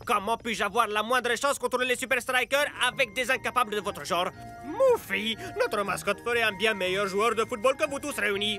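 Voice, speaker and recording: A man voicing a scheming villain team boss in an animated series, theatrical and contemptuous in delivery. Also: high-pitched. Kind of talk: French animated series dub acting.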